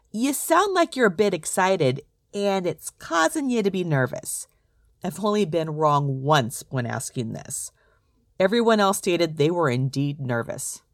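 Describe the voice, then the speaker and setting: A woman's voice: mid-range voice, no room sound. Woman doing a solo podcast, direct and chatty storytelling, voice goes up and down a lot, really dry sound. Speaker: podcast host, recruiter. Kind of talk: scripted solo podcast monologue.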